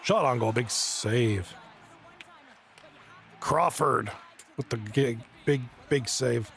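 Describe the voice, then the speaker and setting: A man with a hoarse, fading voice doing casual play-by-play commentary on a live stream, chatting with viewers between plays. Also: medium pitch, takes it slowly, fairly bright tone. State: hoarse, losing his voice. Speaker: esports league commentator.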